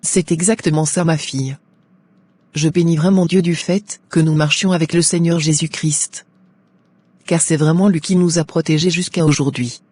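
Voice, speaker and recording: Husky, pious mother, acted by a man. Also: fairly high pitch, steady tone, normal speed, darkish tone, no room sound. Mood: grateful, prayerful. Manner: pious, formal, declamatory.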